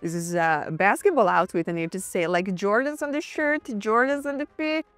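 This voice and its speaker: A woman at a medium pitch conducts a relaxed interview. She reacts quickly and chattily to her guest, and faint music runs underneath. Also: smooth, dry recording. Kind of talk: friendly interview.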